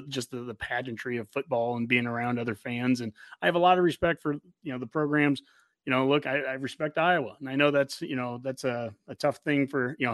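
A man's voice: medium pitch, no room sound. An American man in his forties hosting a sports fan podcast in casual chat, rambling along enthusiastically. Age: 40s.

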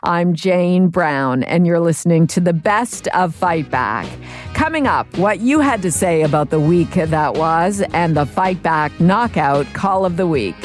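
A woman host presenting a radio talk show, introducing guests and callers in a measured, announcing manner. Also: fairly low pitch, expressive, faint music behind.

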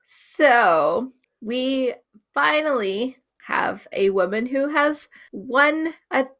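A woman's voice: high-pitched, lively intonation, very slow, a bit bright. A young woman with a nasal, congested voice from being sick, chatting casually and wryly as a podcast co-host.

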